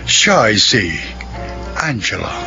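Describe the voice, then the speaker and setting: A man's voice: fairly low pitch, very animated, vocal fry. Solemn male radio drama announcer. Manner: measured, solemn. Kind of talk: formal scripted announcing. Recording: muffled radio broadcast with music.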